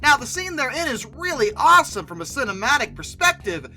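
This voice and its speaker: A woman's voice: high-pitched, big pitch swings, brisk, sharp tone, soft background music. A woman host giving casual, opinionated list commentary in an emphatic way, with theatrically exasperated asides. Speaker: host of a paleontology video channel.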